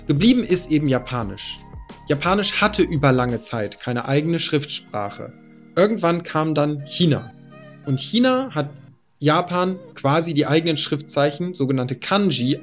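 A man in his thirties from northern Germany, calmly and methodically explaining language families in a personal monologue. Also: on the high side. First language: Low German. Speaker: podcast host.

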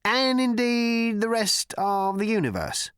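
A man voicing a nervous, high-pitched young cricketer. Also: animated, measured pace, fairly bright tone, smooth, dry recording, clean studio sound. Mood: uneasy. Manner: uneasy, hesitant, trailing off. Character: thin, pale, anxious young male cricketer.

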